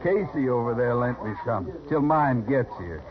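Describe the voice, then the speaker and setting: A muffled old radio recording of a man acting a young American gunslinger, polite but a little cocky and unsure of himself. His voice sits at a middling pitch, with a crowd murmuring behind. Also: lively intonation, moderate pace, natural voice. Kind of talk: 1950s radio western acting.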